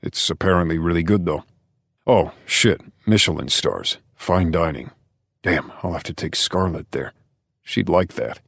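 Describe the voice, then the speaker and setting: A man voicing an excitable gang contact who talks in a rushed, swearing way. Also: deep voice, gravelly, no echo, clean studio sound, full character voice. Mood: excited.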